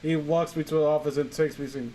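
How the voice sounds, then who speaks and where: Higher-pitched young man, sarcastic and casual. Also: steady tone, fast, dark tone, low-quality recording. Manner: sarcastic, sweary, exasperated asides. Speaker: host reading a story aloud. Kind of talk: casual comic commentary while reading fan fiction.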